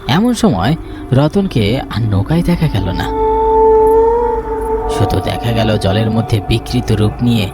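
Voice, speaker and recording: A man's voice: fairly low pitch, lively intonation, unhurried, pauses often. A man narrating a scripted ghost story in a dramatic storytelling style. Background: background music.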